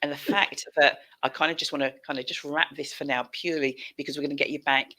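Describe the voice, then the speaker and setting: Low-voiced British woman hosting a chat. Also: fairly even intonation, quick pace, pushed and bright. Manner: effusive, informal, repeats phrases for emphasis. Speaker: talk show host. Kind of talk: casual live chat. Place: indoor room at home, on a video call. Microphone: headset.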